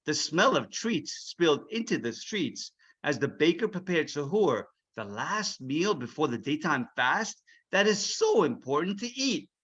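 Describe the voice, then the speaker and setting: A man reading a children's storybook aloud as its author, expressive and checking in with his listeners. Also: a little high, unhurried, breathy edge, highs cut off.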